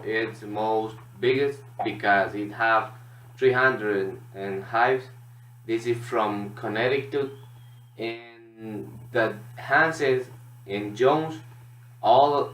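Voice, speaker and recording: A man from Honduras with a Honduran Spanish accent gives a student presentation in halting, non-native English. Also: lowish voice, fairly bright tone. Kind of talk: classroom presentation. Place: echoey room. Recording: echoey room, distant mic, background noise.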